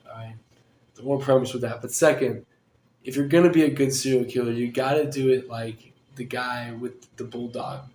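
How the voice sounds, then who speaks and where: Male host, mid-pitched, roomy echo, faint hum behind; rambling jokey chat. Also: steady tone, normal speed. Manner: rambling, joking, laughs loudly. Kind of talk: casual chat. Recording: roomy room with faint ambience.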